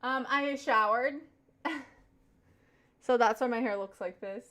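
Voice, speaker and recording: A young woman with a light Southern US accent talks casually on her live stream. Her voice sits a little high, and she keeps thanking viewers as she reads their comments aloud. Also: slow pace, a bit bright. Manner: chatty, thanks viewers over and over.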